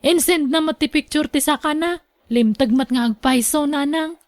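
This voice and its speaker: An actress with an Ilocano Filipino accent playing a young, dutiful but fiery wife in a radio drama. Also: female voice, high-pitched, moderate pace, dry recording. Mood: explaining.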